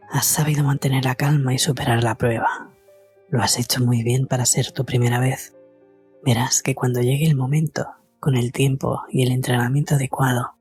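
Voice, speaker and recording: A woman playing a wise, encouraging mystic guide in an audio drama, speaking formally and solemnly with a husky, breathy voice. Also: a bass voice, a little mellow. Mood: proud, soothing. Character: wise, encouraging little mystic girl guide named Minori. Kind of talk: audio fiction acting.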